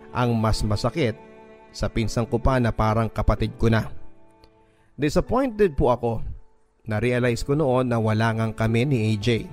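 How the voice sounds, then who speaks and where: A man's voice: mid-range voice, a little mellow. A Filipino man hosting a radio drama, reading a listener's first-person story as dramatic, earnest, sentimental narration. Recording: music bed under the voice. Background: music.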